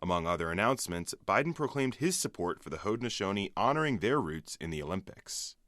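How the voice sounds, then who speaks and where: Guy reading sports headlines on the radio, lowish voice, dry studio. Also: sing-song, fast talker, a bit bright, no echo. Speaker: sports update anchor and play-by-play announcer. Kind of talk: scripted sports broadcast delivery.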